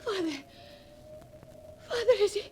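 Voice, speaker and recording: A young, haunted niece in vintage radio horror. Her high voice is earnest and theatrical, full of anxious dread. The aged recording carries a faint layer of noise. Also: unhurried. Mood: alarmed, pleading. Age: young adult. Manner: earnest, dramatic. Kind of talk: 1940s radio horror acting. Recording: noisy old radio recording.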